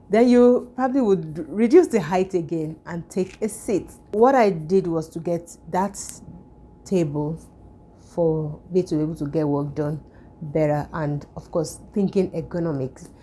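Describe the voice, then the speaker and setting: Hoarse woman chatting in a low voice. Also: dark tone, slight background noise. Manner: chatty and explanatory, with frequent fillers and restarts. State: hoarse, recovering from illness. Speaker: vlogger. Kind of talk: casual vlog chat. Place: at home.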